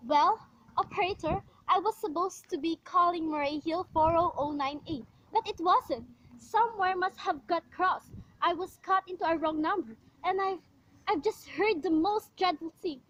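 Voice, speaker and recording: A woman's voice: extremely high pitch, moderate pace, a bit bright, poor audio. A woman playing an anxious, demanding invalid wife in an amateur radio play, with a fretful, melodramatic manner. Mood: horrified, urgent.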